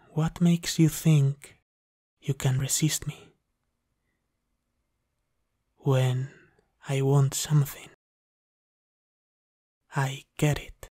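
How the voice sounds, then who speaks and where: A man's voice: medium pitch, mellow, no room sound, studio quality. A man playing a possessive, dominant vampire captor in an ASMR roleplay, speaking in a menacing, condescending half-whisper. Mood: condescending, threatening. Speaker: ASMR voice actor.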